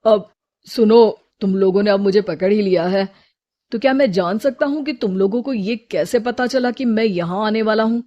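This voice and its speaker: A woman voicing a self-assured adult male outlaw in a storybook tale, with deliberate delivery and slightly muffled sound. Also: mid-range voice, mellow, close to an everyday voice. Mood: defiant. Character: swaggering adult male outlaw, bandit or notorious thief.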